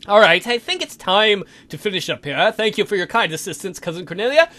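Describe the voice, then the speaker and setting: A man voicing a dry, sardonic vampire count who speaks deliberately, with mock-polite menace, for a visual novel game. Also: high voice, big pitch swings, bright, forceful tone, heavily acted. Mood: coolly commanding.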